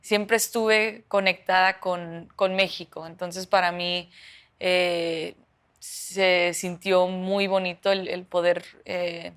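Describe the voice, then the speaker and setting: A young woman from the United States talks through her answers in an interview, stopping and restarting with frequent fillers. Her voice sits low and smooth, with a clear tone. Her pitch barely moves. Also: level tone, slow pace, a bit bright. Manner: hesitant, many fillers and restarts. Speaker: professional footballer.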